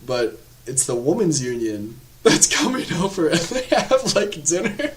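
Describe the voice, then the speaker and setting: Animated, rambling young-sounding man reviewing films. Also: on the high side, measured pace, background noise. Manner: animated, rambling, lots of filler words and laughs. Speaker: horror film reviewer. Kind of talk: casual spoken film review.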